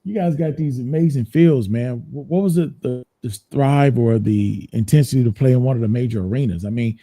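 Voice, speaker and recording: A laid-back man hosts an interview, chatting loosely and circling back on his words. His voice is deeply dark and mellow, with a middle pitch. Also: fairly quick. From United States. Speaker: podcast host. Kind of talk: casual interview chat.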